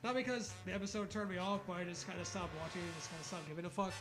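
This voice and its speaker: Male co-host, high voice, casual comedic read-along with sweary asides, light music behind. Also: racing. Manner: sweary, mocking. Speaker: co-host reading a story aloud. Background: background music.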